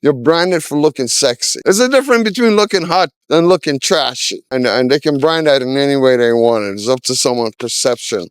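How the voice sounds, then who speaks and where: A man's voice: mid-range voice, animated, a little fast, hardly any pauses, smooth, dry recording. A man in his sixties, originally from Trinidad and Tobago, giving candid, opinionated answers in a casual street interview, with lots of "you know.". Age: 60s.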